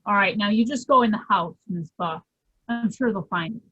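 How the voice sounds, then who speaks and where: A woman's voice: medium pitch, normal speed, bright, forceful tone, husky, highs cut off. A woman playing a helpful, hesitant neighbour in a radio play. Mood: concerned, reassuring.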